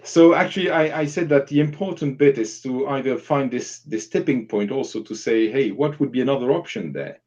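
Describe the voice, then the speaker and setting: A mellow-voiced man talks through workshop advice in an informal online session. He explains step by step and voices example questions as he goes. The audio is slightly dull and lo-fi, like an online call. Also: mid-range voice, fairly quick, few pauses. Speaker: workshop facilitator giving advice. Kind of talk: informal live online discussion.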